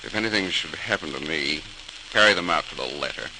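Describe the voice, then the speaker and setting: Driven engineer patriarch, low and forceful, declamatory period radio style, hissy old transcription. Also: male voice, animated, brisk. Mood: grave, commanding. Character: driven, determined bridge engineer, commanding father. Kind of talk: 1940s radio drama acting.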